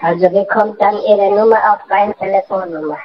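A woman's voice: medium pitch, fairly even intonation, fairly quick, crowd noise. A woman returning a missed call, hesitant and apologetic. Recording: dull lo-fi phone recording.

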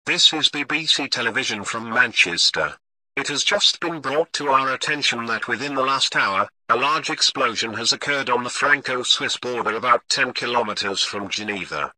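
Man playing calm television emergency announcer, formal, measured scripted bulletin, sharp bright tone, very dry sound. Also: medium pitch, dry recording, rough recording. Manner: formal, measured, read-out delivery.

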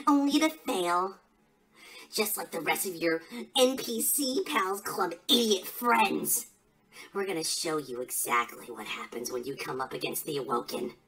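A woman's voice: sits high, poor audio. A woman playing a smug, unhinged, conspiracy-minded villain who taunts rivals in a theatrical, melodramatic wrestling promo.

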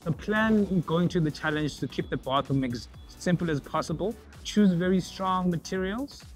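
A man's voice: high voice, moderate pace, faint music behind. A man from South Africa, a contestant speaking confidently about his design plans. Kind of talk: interview.

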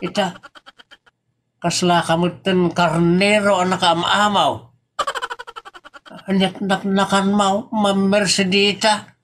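A man's voice: high-pitched, little pitch movement, takes it slowly, long pauses, fairly bright tone, slight background noise. A man with an Ilocano accent playing a grumbling, easily flustered older husband in a comedy audio drama, with blustering exclamations. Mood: flustered, suspicious.

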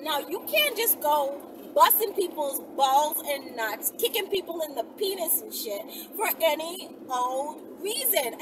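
A woman in her thirties tells stories in a casual, blunt, slangy way, chatting to camera as a vlogger. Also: high voice. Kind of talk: casual storytelling chat to camera. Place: inside a moving car. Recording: distant mic, loud vehicle noise. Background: loud road and vehicle noise.

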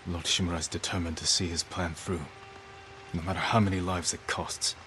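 An actor playing a grim young samurai lord in a video game cutscene, talking in a low, clipped, bitter way. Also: male voice, little pitch movement, a little fast, sharp tone, a little hiss or hum. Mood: cold resolve. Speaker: game character. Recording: game soundtrack music behind.

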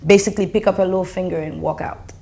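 Young Haitian woman, Haitian accent, low voice, earnest candid interview talk, seated indoors. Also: steady tone, quick pace, warm tone. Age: young adult. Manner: earnest, switching between English and Haitian Creole. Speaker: interview guest, artist. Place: indoor studio with plants.

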